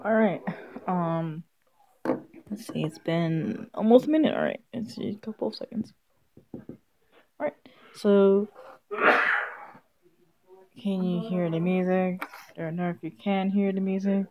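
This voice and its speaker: A woman live-streaming a game, chatting casually and haltingly as if half to herself. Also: on the low side. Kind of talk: casual live-stream chat while setting up and playing a visual novel. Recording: dull lo-fi mic with music behind.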